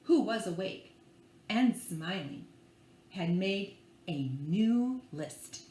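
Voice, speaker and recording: Animated woman reading a picture book aloud. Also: mid-range voice. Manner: playful, theatrical, drawn-out words with hushed asides. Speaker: librarian reading at children's storytime. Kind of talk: expressive picture-book read-aloud with asides to young listeners. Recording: echoey room with faint background noise.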